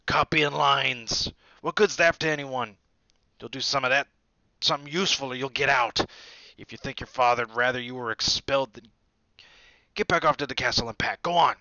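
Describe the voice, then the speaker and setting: A man voicing a big, fierce male groundskeeper with a booming, rustic way of talking. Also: a little fast, pushed and bright, dry recording, highs cut off. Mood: gruff, stern. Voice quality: booming, broad rustic dialect.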